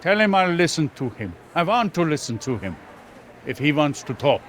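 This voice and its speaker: A man's voice: a little high, sing-song, normal speed, a little hiss or hum. An actor voicing a stern, proud, older immigrant father in a video game, with an emphatic, blustering manner. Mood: regretful.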